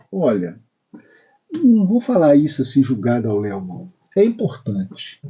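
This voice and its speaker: Dark husky-voiced man reminiscing. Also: mid-range voice, highs cut off. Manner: warm storytelling, frequent "né?" tag questions. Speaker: program host. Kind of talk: casual religious talk. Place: spiritist center.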